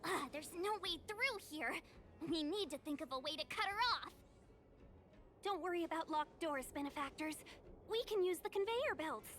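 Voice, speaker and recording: A woman's voice: very high-pitched, lively intonation, moderate pace, a bit bright, slight background noise. An actress voicing an eager young female ally in a video game, urgent and helpful.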